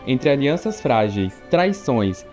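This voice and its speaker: A man reading a scripted entertainment news intro in a dramatic, measured way. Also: medium pitch, big pitch swings, a little mellow. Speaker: narrator. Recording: narration over music.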